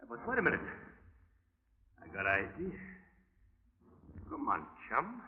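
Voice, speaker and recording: An actor playing a gruff, impatient gangster giving threatening orders in a 1940s radio crime drama. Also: male voice, medium pitch, huge pitch swings, a little slow. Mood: menacing, urgent. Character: menacing, impatient male gangster with a gun. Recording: muffled noisy 1940s radio recording.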